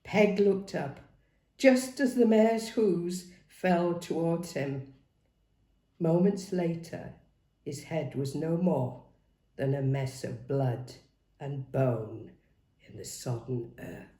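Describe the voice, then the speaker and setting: A woman reading a novel aloud as dramatic, expressive narration. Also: sits low, slow pace. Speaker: story reader. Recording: roomy, echoing sound.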